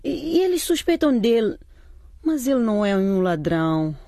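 Anxious, high-pitched wife. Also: female voice, sing-song, moderate pace, mellow, dry recording, studio quality. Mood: anxious, pleading. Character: timid, frightened wife and mother pleading for help. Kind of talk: radio drama acting.

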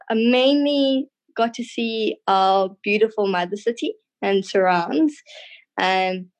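A thirty-year-old woman with a South African accent, answering interview questions casually and a little hesitantly. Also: mid-range voice. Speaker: podcast guest, trained tour guide.

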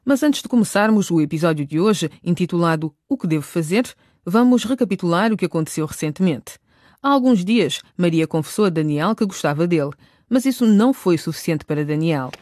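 A woman's voice: on the low side, animated, brisk, darkish tone, no room sound, clean studio sound. A woman reading scripted narration for a radio drama, recapping and introducing scenes.

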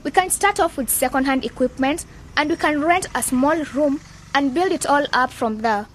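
A woman's voice: sits high, moderate pace, slight background noise, natural voice. An actress playing a confident, idealistic young woman leading a youth club in a radio drama, with a persuasive, upbeat manner. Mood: enthusiastic, persuasive.

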